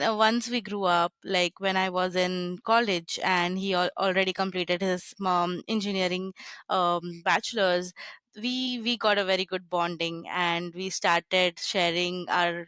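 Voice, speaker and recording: A young woman from India answering interview questions in a soft, hesitant way with many fillers. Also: fairly low pitch, clear, resonant tone, no room sound. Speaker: podcast guest.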